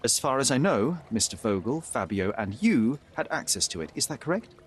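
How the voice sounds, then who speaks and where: A man's voice: mid-range voice, sing-song, a little fast, soft background music, natural voice. An actor playing a young male detective, speaking in a precise, composed and formal manner. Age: young adult.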